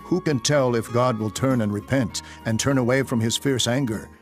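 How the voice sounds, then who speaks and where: A man playing a solemn, authoritative king proclaiming gravely in a dramatised scripture reading. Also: medium pitch, normal speed, soft background music, lightly acted. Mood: grave, commanding.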